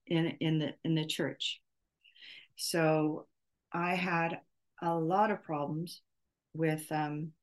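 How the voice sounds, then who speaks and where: A middle-aged woman gives an unscripted podcast introduction. Her voice sits slightly low and stays quite flat. She speaks slowly and haltingly, often pausing to find the next word. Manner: hesitant, halting, searching for words. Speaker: podcast host. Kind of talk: off-the-cuff solo podcast introduction.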